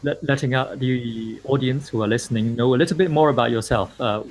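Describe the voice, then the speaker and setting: A man's voice: mid-range voice, a little fast, low-quality recording. A man from Malaysia hosting an online interview, reading a formal introduction and then asking questions, with frequent fillers and restarts. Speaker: host and interviewer.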